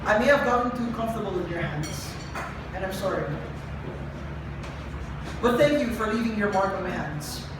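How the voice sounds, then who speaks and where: A young adult poet performing confessional spoken word live, with a dramatic, deliberate delivery and emphatic repetition. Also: medium pitch, lively intonation. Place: live event venue with room ambience. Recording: echoey live room, distant mic, noisy.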